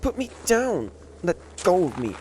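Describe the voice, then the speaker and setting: A man playing a proud, prickly stray catboy who hides his loneliness, in an emotional audio roleplay with a haughty, halting delivery. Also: sits high, wildly swooping pitch, darkish tone, a little hiss or hum, exaggerated voice, low-quality recording. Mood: indignant, panicked. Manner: tsundere, haughty, defensive, halting.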